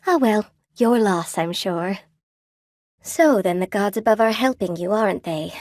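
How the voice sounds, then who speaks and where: A woman's voice: medium pitch, lively intonation, a little slow, darkish tone, no echo, studio quality, natural voice. An actress voicing a friendly, wry spider weaver woman in a video game, chatty and coy, with a folksy, lightly theatrical delivery. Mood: warm, confiding. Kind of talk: video game character dialogue. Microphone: close dry studio microphone.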